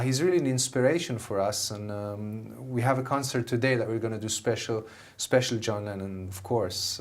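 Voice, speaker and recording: Middle-aged Israeli-accented musician, eager guest, average pitch. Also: male voice. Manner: enthusiastic, many fillers and restarts. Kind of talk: TV interview answers.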